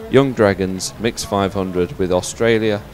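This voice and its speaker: A male sports presenter with a fairly low voice calls a live race. His delivery is hesitant and halting, in the unscripted way of live commentary. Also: steady tone.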